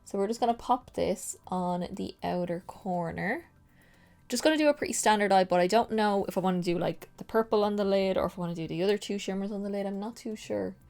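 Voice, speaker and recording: A woman's voice: mid-range voice, fairly quick, creaky, a little mellow. Young woman with a head cold, sounds all nasal and stuffed up, rambly makeup chat, keeps second-guessing herself. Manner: chatty, rambling, self-correcting. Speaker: makeup artist and beauty vlogger. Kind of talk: casual makeup tutorial chat.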